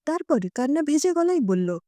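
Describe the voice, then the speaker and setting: Woman reading an audio story, high mellow voice, really dry close sound, emotive delivery. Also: big pitch swings, moderate pace, no room sound. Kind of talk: expressive first-person storytelling narration.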